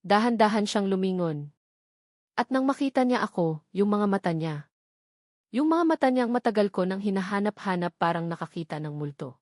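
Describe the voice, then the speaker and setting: A woman's voice: mid-range voice, very animated, normal speed, darkish tone, no echo, clean studio sound. A woman storyteller giving scripted first-person romance narration in a dramatic, sentimental manner.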